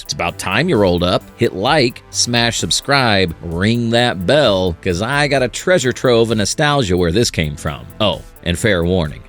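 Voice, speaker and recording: Middle-aged American male narrator, lowish pitch, for a slangy countdown; punchy, playful delivery over soft background music. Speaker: host of a nostalgia video channel.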